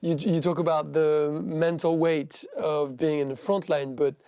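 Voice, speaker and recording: A man's voice: on the high side, steady tone, measured pace, mellow, smooth, no room sound, studio quality. A French man hosting an interview in English with a French accent, asking probing questions. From France. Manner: probing questions, brief backchannels. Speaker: interview host. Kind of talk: interview conversation.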